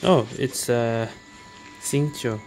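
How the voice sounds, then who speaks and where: A woman's voice: very deep voice, takes it slowly, noisy background. A woman game streamer giving casual commentary as she plays. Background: game music.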